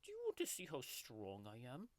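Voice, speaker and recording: A woman's voice: rumbling low, huge pitch swings, fairly quick, dry recording, heavily acted. A woman voicing a grumpy, foul-mouthed male tiger-like creature who whispers and mocks while reading a bedtime story as comedic ASMR. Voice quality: whispery, crude and mocking.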